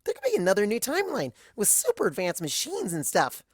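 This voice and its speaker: A narrator voicing a bubbly, excitable young female cartoon pony who gushes and rambles. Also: medium pitch, brisk, breathy edge, dry recording, clean studio sound, pitched above the performer's own voice. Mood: excited, rambling. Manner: gushing, exclaiming, rambling.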